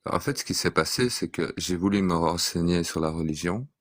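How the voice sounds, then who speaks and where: A man in an online debate, speaking calmly and methodically and reciting verses to make his point. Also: deep voice, brisk, no room sound, studio quality. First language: French speaker.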